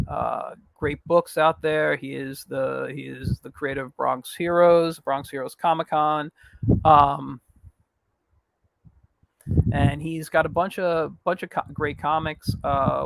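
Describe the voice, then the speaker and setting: A man talks loosely to his livestream viewers, trailing into fillers and restarts as he goes. His voice sits a little high and has a gravelly, fry-laden edge. It turns raspy now and then. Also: fairly bright tone, no room sound, low-quality recording. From New York. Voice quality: raspy at times. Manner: rambling, frequent fillers and restarts. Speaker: podcast host. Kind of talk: casual livestream announcement.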